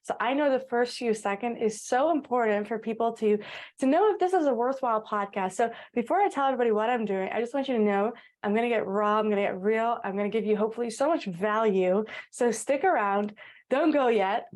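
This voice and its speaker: A woman's voice: fairly high pitch, brisk. Eager Dutch-born woman in her thirties. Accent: American-tinged English. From Netherlands. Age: 30s. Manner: energetic, eager, personable. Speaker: guest, content creator. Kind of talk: podcast interview.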